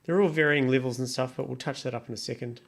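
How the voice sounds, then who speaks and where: A man's voice: mid-range voice, fast talker. A man casually thinking aloud as he walks through music production steps on his computer, offhand and muttering to himself. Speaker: music production tutorial creator. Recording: clear background noise, keyboard and mouse clicks. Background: computer typing and clicking.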